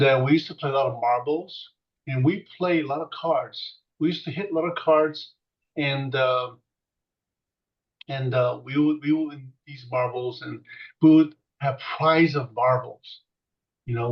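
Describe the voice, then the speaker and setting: A man's voice: medium pitch, fairly even intonation, unhurried. A man in his sixties from South Korea, Korean his first language, telling childhood stories as an interviewee in a rambling way, with many fillers and restarts. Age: 60s. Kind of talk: reminiscing storytelling. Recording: distant muffled mic.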